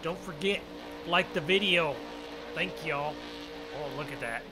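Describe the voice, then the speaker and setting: A man's voice: fairly high pitch, unhurried, music in the background, low-quality recording. A man giving casual, folksy role-play commentary as host of a farming game video, often exclaiming as he goes. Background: game vehicle and ambience sounds.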